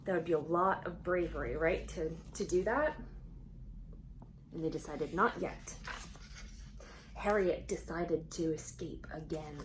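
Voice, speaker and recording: A woman's voice: low voice, unhurried, long pauses. Woman reading to children, noisy background. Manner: expressive, asks young listeners questions. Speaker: children's storybook reader. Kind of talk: read-aloud storytelling for kids with conversational asides.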